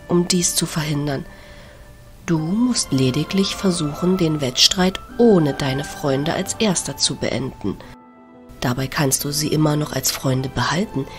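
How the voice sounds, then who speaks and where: A woman playing a scheming goddess mother in an audio drama, speaking in a smooth, persuasive way. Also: deep voice.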